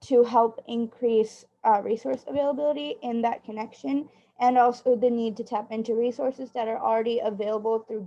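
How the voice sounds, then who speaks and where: A woman's voice: on the high side, creaky, warm tone. A woman reporting back in an online meeting, summarizing a document point by point with frequent ums. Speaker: youth summit participant. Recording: muffled lo-fi audio.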